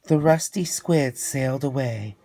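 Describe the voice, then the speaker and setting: A man reading a children's storybook aloud in an expressive style, with theatrical, drawn-out emphasis. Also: mid-range voice.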